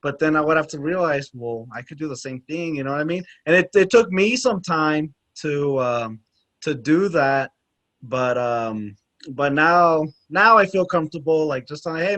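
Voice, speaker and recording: A man with a somewhat high voice talks reflectively in a casual podcast chat, leaning on fillers. The sound is dry and close. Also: normal speed, a bit bright, no echo. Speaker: podcast co-host.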